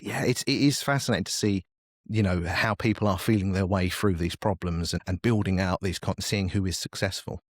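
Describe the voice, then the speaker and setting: A man's voice: sits low, steady tone, brisk, no room sound. A man talking as a podcast guest, explaining things informally and thinking aloud in casual conversation. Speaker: podcast guest, media industry professional. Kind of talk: casual interview chat.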